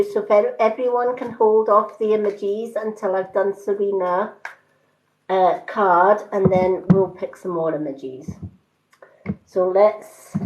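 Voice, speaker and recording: A woman chatting casually to live-stream viewers as a psychic card reader, with a calm, soothing delivery. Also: mid-range voice. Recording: roomy, slightly dull livestream audio.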